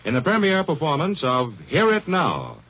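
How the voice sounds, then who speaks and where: A man's voice: fairly high pitch, huge pitch swings, normal speed. A man with an American accent announcing a radio program in a grand, formal 1950s style. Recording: vintage radio broadcast, dull, loud music behind.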